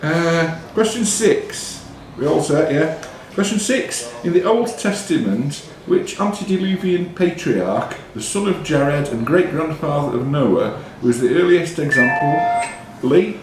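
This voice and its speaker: A man's voice: a little high, animated, a little hiss or hum. Male quizmaster in a very echoey room. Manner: deliberate question reading, curt rulings. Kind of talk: reading quiz questions and ruling on answers. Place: indoors in a pub. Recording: very echoey room with pub crowd. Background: clear crowd chatter.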